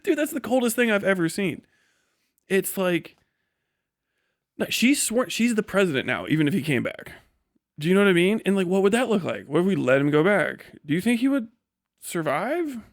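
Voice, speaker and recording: A man giving casual, rambling live commentary as a stream host, slangy and animated, acting out imagined exchanges. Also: high-pitched, moderate pace, no echo, clean studio sound. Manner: often chuckles mid-sentence. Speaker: livestream host and political commentator.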